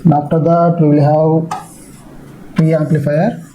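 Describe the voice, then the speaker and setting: High-pitched male lecturer, halting and mellow. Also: level tone, a little hiss or hum, low-quality recording. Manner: halting, repetitive delivery. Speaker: teacher. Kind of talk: explanatory technical lecture.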